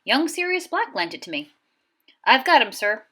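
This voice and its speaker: A woman doing a big soft-hearted giant. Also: high-pitched, very animated, normal speed, husky, voice pushed up, heavily acted. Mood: earnest. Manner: sobbing, stammering. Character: huge gentle male giant, soft-hearted and weepy.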